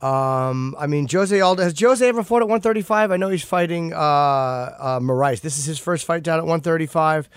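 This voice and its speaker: A man's voice: a little high, expressive, normal speed, few pauses, clean tone, no echo, clean studio sound. A man hosting a casual interview, asking long rambling questions with self-deprecating asides. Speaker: podcast host.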